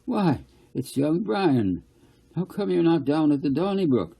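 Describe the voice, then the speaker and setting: Storybook farmer, acted by a man: older, plain-spoken, curious, husky and mellow, with rustic turns of phrase. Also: a little high, big pitch swings, unhurried, pitched above the performer's own voice, exaggerated voice. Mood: folksy, musing. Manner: folksy dialect, musing.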